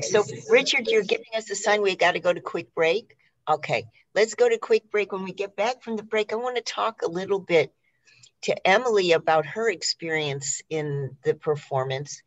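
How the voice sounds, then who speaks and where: A woman's voice: sits low, dull audio. A woman hosting a live radio interview, putting questions to guests and steering the show.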